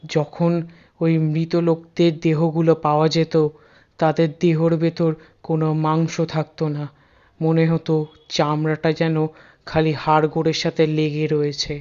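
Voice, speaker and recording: A narrator reads a scripted horror story, using dramatic pauses and repeated words to build suspense. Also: mid-range voice, monotone, a little mellow, dull audio.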